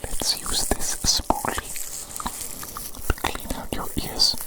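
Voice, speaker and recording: An evil doctor played by an ASMR performer speaks entirely in a breathy whisper. The phrasing is formal, deliberate and repetitive, doting on a creature patient with a vengeful streak underneath. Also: close to an everyday voice. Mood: fussy, coaxing. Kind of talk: whispered ASMR medical roleplay.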